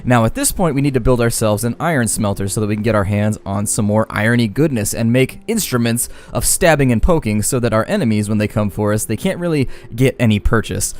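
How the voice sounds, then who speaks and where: A man's voice: medium pitch, animated, a little fast, few pauses, a little mellow. A man giving casual, chatty let's-play commentary on a game, with folksy slangy phrasing. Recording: game music behind the voice. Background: game music.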